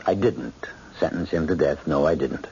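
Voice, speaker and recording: A man's voice: very deep voice, normal speed, creaky, mellow, a little hiss or hum. An actor playing a weary, hesitant middle-aged judge and father in an old-time radio drama. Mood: weary, resigned. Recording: thin, phone-like old radio recording.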